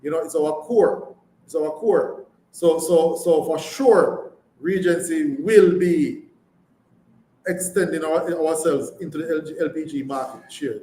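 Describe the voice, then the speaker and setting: A Jamaican man with a slightly high voice speaks as a company chief executive on a live call. He is emphatic and often repeats his phrases. The sound is echoey, with faint noise behind him. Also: a little slow, warm tone. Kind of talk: live earnings call answers. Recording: echoey room, background noise.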